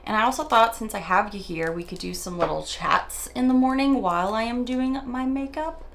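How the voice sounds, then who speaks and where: A woman beauty vlogger chatting casually while doing her morning makeup, her voice raspy from just waking up, a little nervous and rambling, tripping over her words and laughing at herself. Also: a little high, normal speed, fairly bright tone. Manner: nervous, self-deprecating, rambling with stumbles. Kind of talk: chatty get-ready-with-me monologue.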